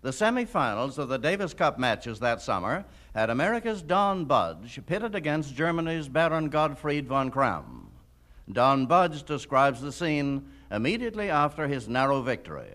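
A man's voice: mid-range voice, sing-song, normal speed, a bit bright. A man hosting and narrating a scripted radio documentary about the past.

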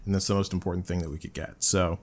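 A man's voice: low-pitched, level tone, fast talker. A man hosting a podcast, chatting casually, rambling with lots of fillers and laughing often. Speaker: podcast host.

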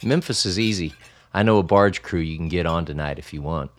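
A man's voice: low voice, brisk, natural voice. An actor playing an easygoing, plain-spoken man who runs a safehouse in an audio drama. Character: folksy man running a safehouse.

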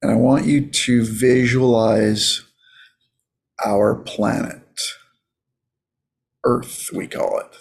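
A man leading a guided meditation as a coach, in a soothing, casual, unhurried manner. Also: medium pitch, steady tone, creaky, slightly rough.

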